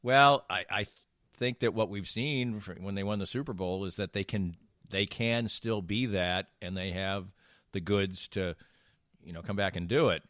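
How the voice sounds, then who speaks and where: A man's voice: sits low, fairly even intonation, normal speed, fairly bright tone, no echo, studio quality. An American man hosting casual sports banter, emphatic and opinionated in the way he talks. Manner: emphatic, opinionated, mockingly acts out imagined quotes. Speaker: sports talk show host.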